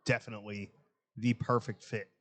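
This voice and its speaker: A man's voice: fairly low pitch, flat delivery, brisk, fairly bright tone. A man with an American accent hosting a podcast in a casual, joking, blunt style with some swearing. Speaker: podcast host. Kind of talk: casual chat.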